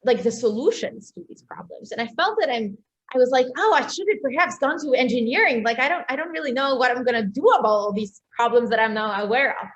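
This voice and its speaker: A woman's voice: a little high, animated. Young woman, slightly muffled recording. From Serbia. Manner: chatty, halting, many hedges and self-corrections. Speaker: interview guest, doctor and entrepreneur. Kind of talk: casual autobiographical talk.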